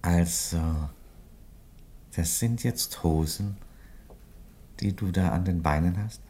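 An ageing Roman emperor with a profoundly low voice. He is phlegmatic and world-weary, delivering theatrical radio drama lines with dry, courtly irony and no hurry. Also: dark tone. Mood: amused, courteous. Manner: phlegmatic, dryly ironic, unhurried. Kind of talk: theatrical radio play dialogue.

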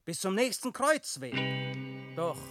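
An actor in a classic German radio play, playing a calm, grim man who fetches souls and speaks in measured verse. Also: sits high, over loud music, full character voice.

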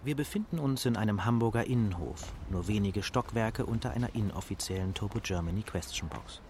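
An actor as a wry, composed narrator of a radio play, speaking in a measured literary way with a husky voice. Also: male voice, fairly low pitch, a little mellow, slight background noise, natural voice.

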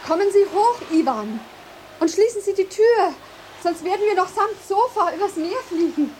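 An actress in a German radio drama playing a haughty, jealous lighthouse mistress, speaking in an imperious, formal and mocking way. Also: female voice, very high voice, expressive, normal speed, a little mellow, a little hiss or hum, rough recording. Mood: agitated, indignant.

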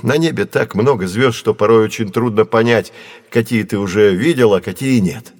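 An actor playing a kindly, patient professor who explains science to children in an educational audio play. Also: male voice, fairly low pitch, moderate pace, darkish tone, no room sound, studio quality, lightly acted. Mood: explanatory. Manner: didactic, carefully phrased, encouraging.

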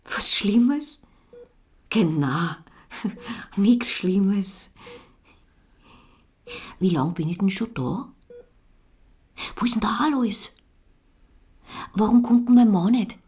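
A fragile, halting Austrian wife. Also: female voice, medium pitch, lively intonation, darkish tone, husky, full character voice. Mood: confused, anxious. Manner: halting, repetitive, emotionally fragile. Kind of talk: naturalistic radio drama acting.

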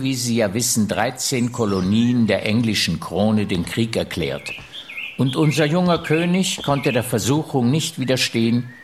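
An actor in a radio play voicing an aggrieved French royal minister reciting a letter in grave, measured, emphatic phrases. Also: male voice, lowish voice, a little hiss or hum. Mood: grave, alarmed. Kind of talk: radio drama, letter read aloud.

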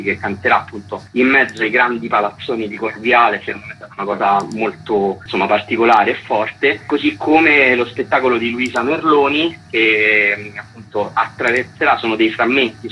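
Male radio guest, bright timbre, mid-range pitch, presenting an arts event. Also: lo-fi sound. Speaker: festival co-artistic director, interview guest. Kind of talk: radio interview, explaining an event programme.

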